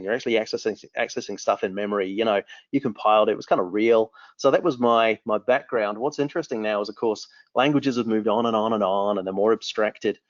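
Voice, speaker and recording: A New Zealand man in his forties talks with eager energy, often restarting his sentences. His voice sits fairly low. The audio is dry and a little dull, as if captured on a modest mic. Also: dry recording. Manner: enthusiastic, rambling with frequent restarts. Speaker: company founder. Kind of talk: remote interview answers.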